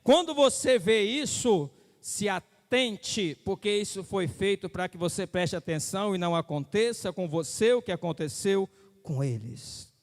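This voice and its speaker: A man's voice: sits high, animated, measured pace, in a large hall. A man preaching a sermon, emphatic and repetitive, often asking the listeners whether they follow him. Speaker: pastor.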